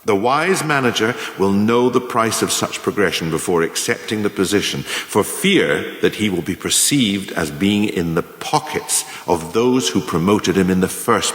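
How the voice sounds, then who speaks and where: A man acts out a shrewd, ruthless mentor delivering a radio play monologue to would-be managers. His low male voice swings expressively through measured, didactic phrases. The sound is echoey, with a long reverberant tail. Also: fairly bright tone. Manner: didactic, measured, formal, emphatic. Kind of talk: scripted radio play monologue, delivered as a lecture in numbered lessons.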